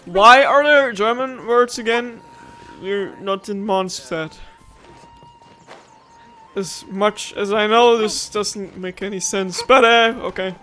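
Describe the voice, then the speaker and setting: A man's voice: high-pitched, slow pace, pushed and bright, poor audio. A man giving casual let's-play commentary, musing aloud and rambling with playful complaints. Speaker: gaming streamer. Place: own room at home. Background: game music.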